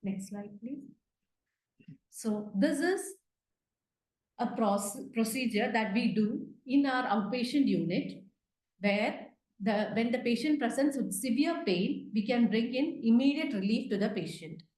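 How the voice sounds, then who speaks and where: A woman's voice: fairly high pitch, expressive, unhurried, darkish tone. A woman giving an interactive medical lecture online, prompting her learners with questions. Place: indoors, virtual class over video call. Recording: roomy, echoey online call audio.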